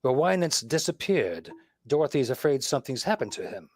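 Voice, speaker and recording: An actor playing a suave, wisecracking married detective, breezy and teasing, in a 1930s radio style. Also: male voice, mid-range voice, sing-song, a little fast, a little mellow, dry recording. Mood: wry, teasing.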